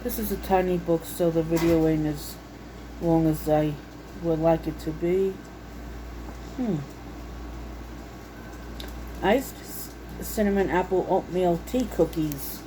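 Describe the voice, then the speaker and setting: A woman's voice: lowish voice, slow pace, pauses often, background noise. A woman chatting casually and unscripted, reading things out and musing to herself in a homey, folksy way. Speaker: vlogger showing a recipe book. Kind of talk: casual unscripted chat while browsing and reading out recipes. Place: indoors at home.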